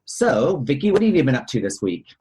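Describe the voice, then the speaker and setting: A man's voice: mid-range voice, big pitch swings, fairly quick, a little mellow. A man hosting a podcast in a casual, chatty style. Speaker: podcast host. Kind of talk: casual chat.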